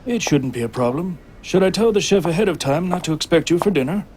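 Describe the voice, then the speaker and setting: An actor playing a genial, protective king in an audio drama, courteous and gently teasing. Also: on the high side, lively intonation, fast, close to an everyday voice. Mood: concerned. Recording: noticeable background noise.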